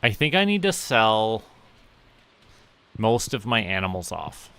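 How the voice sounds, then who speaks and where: A young man from Canada giving relaxed, musing commentary as he plays, often talking to himself. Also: fairly low pitch, clear, resonant tone. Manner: slow, musing, talks to himself while playing. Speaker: VTuber streamer.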